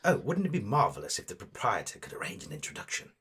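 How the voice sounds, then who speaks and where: A man's voice: mid-range voice, wildly swooping pitch, fast, fairly bright tone, slightly rough, exaggerated voice. A man playing a vain, snobbish amateur actor in a comic audio drama, with affected, gushing, pompous delivery. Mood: starstruck.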